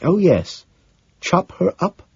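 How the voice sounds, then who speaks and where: A man playing a smug, breezy socialite, swooping pitch. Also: big pitch swings, slow, creaky, darkish tone, breathy edge, highs cut off, heavily acted. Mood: condescending. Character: smug, dismissive, amused upper-class socialite man.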